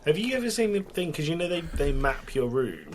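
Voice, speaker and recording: A man's voice: on the high side, big pitch swings, a little fast, slight background noise. A man co-hosting a casual podcast, sweary and easily sidetracked into anecdotes, getting over a cold. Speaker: podcast co-host. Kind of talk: casual chat, telling anecdotes.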